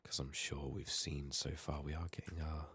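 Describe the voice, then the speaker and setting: A man giving soft-spoken, partly whispered ASMR commentary on a video game, muttering in a hushed, unhurried way over keyboard and mouse clicks. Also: extremely low pitch, level tone. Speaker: video game streamer, host. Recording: faint noise, keyboard typing and mouse clicks.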